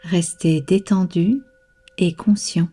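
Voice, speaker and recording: A woman guiding a relaxation practice as a meditation instructor, speaking calmly and soothingly in measured instructions with long pauses. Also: fairly low pitch, husky, soft background music. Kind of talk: guided yoga nidra relaxation.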